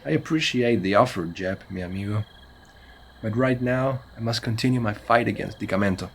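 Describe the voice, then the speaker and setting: A man's voice: fairly low pitch, brisk, slight background noise, lightly acted. A man playing a grave, courteous, resolute monster hunter in a scripted audio drama. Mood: grave resolve.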